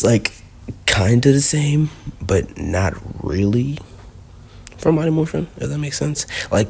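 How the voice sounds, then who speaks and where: A man's voice: low-pitched, vocal fry, slight background noise. A man hosting a casual countdown video, rambling and leaning heavily on fillers. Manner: rambling, heavy on fillers like "you know". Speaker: video host.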